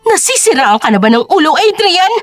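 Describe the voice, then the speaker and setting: Rich contemptuous matriarch played by a woman, high agitated voice, berating, scandalized, very animated, audio drama. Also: brisk, no echo, soft background music, full character voice. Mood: outraged. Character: domineering wealthy matriarch, outraged and contemptuous mother.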